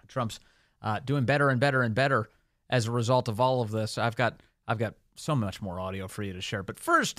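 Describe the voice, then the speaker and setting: A man's voice: mid-range voice, a bit bright. A man hosting political talk radio, commenting conversationally with an incredulous, mocking edge.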